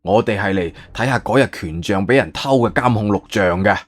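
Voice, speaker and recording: 一個男人用粵語有聲小說嘅演法，扮演一個慌張、囉嗦、急於安撫人嘅博物館館長，講嘢猶豫多口頭禪。. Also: male voice, fairly low pitch, fairly quick, faint music behind, close to an everyday voice. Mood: obliging. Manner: hesitant, filler-laden, long-winded. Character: flustered, wordy museum director, eager to placate. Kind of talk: Cantonese audiobook character acting.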